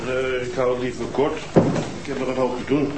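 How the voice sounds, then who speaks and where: Casting: male actor for blunt, stubborn police detective in a TV drama. Dry, curt, quick delivery over clearly noisy sound. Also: mid-range voice, little pitch movement, lightly acted. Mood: defensive, irritated. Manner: dry, curt, giving orders. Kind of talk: naturalistic TV crime drama dialogue.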